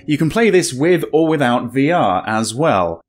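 A man's voice: medium pitch, big pitch swings, moderate pace. A man in his thirties giving casual, wryly understated commentary as host of a gameplay video. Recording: very noisy game ambience behind.

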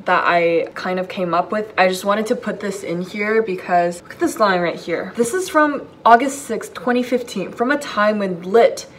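A woman's voice: medium pitch, moderate pace, few pauses, a little hiss or hum. A woman in her late teens with a North American accent, chatting casually as a YouTuber in a self-mocking, theatrical way. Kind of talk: casual vlog chat with dramatic readings. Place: basement room at home, indoors. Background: background music.

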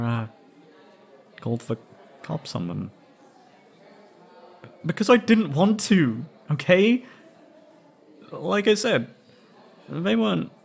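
A young man acting an anxious, wistful former captive in a scripted roleplay audio drama, speaking hesitantly and haltingly. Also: medium pitch, gravelly, heavily acted.

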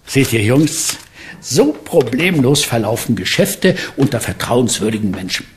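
An actor playing a good-natured, jovial middle-aged uncle who sells junk in a radio play. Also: male voice, medium pitch, sing-song, measured pace, slight background noise.

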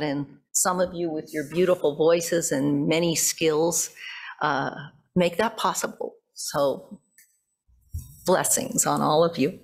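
Low-pitched middle-aged American woman preaching. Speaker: pastor preaching. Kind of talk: sermon, reflective and conversational. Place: church sanctuary at a pulpit. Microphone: gooseneck pulpit microphone.

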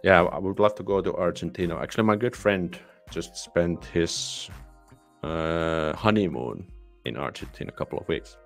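A young Finnish man with a very low voice and a Finnish accent hosts a relaxed live Q&A from a small home room, speaking into a studio mic. He sounds tired and talks in a halting way, restarting his sentences. Faint music plays behind him. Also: creaky. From Finland. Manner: relaxed, halting, with many restarts and soft laughs. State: tired, yawning. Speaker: livestream host. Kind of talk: casual live Q&A, reading viewer comments. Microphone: studio microphone on boom arm, headphones on.

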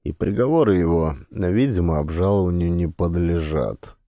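A man narrating an audiobook in a measured, ironic storytelling manner. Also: sits low, dark tone, no room sound, clean studio sound.

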